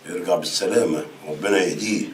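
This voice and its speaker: A man's voice: low-pitched, fast, a little hiss or hum, natural voice. A man playing a stern Egyptian father. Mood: gruff. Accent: Egyptian Arabic. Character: stern pious middle-aged Egyptian father. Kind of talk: TV drama acting.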